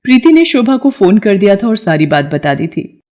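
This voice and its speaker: Woman, story narrator, dark mellow tone, mid pitch, scripted delivery. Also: sing-song, moderate pace, highs cut off.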